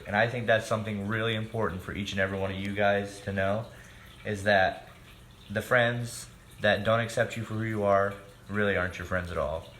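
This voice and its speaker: A man's voice: lowish voice, fairly bright tone. A man reading a children's storybook aloud expressively and speaking directly to his young listeners. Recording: echoey room with background noise.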